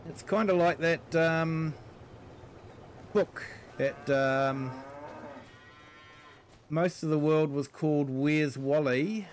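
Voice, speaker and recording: A man's voice: fairly high pitch, slow, long pauses, slight background noise. A middle-aged man with a New Zealand accent, chatting casually on a live stream and greeting viewers by name between ums. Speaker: farming sim streamer.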